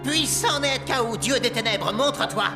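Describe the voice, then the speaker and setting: A man voicing a theatrical sorcerer of Pharaoh, chanting to dark gods in drawn-out phrases, in an animated Bible story. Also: very high-pitched. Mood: incantatory. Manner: incantatory, drawn-out. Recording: echoing hall, music behind.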